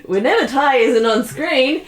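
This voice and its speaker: A woman's voice: high-pitched, expressive, unhurried. A woman giving casual, conversational commentary as a co-creator and voice actor. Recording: echoey room.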